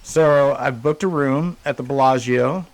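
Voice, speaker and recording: A man's voice: mid-range voice, unhurried, a little hiss or hum, rough recording. A man co-hosting a casual podcast, rambling with many restarts and fillers. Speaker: podcast co-host.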